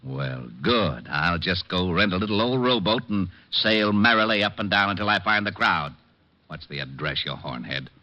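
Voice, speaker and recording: An actor playing a gruff, weary and sarcastic police lieutenant, grumbling dryly in an old radio crime drama. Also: male voice, sits low, normal speed, bright, forceful tone. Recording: muffled old radio recording.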